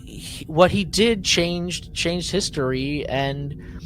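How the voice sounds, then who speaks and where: A man's voice: on the high side, takes it slowly, fairly bright tone, a little hiss or hum. A man co-hosting a casual podcast recap, talking emphatically, repeating himself for effect and acting out mock quotes. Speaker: podcast co-host. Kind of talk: animated casual recap chat.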